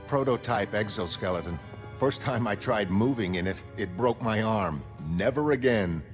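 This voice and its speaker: A low-pitched middle-aged man, as an actor plays him, a former costumed hero in a comic-book drama. He is earnest and wistful, speaking hesitantly and reflectively. Music sits behind the voice. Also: moderate pace, lightly acted. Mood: matter-of-fact.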